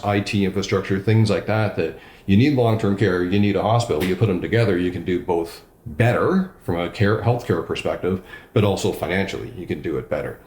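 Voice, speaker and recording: A man's voice: on the low side, roomy sound. A man, a regional councillor, answering interview questions with emphatic enthusiasm. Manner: enthusiastic, emphatic, self-described loud voice.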